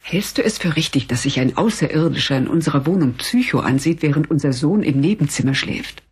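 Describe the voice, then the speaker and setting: A woman playing a firm, sceptical mother with a dry, sarcastic manner and a husky voice in a German children's audio drama. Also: low voice, expressive, fairly quick, a little mellow, full character voice. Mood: worried, sceptical. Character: brisk, sceptical mother of the family.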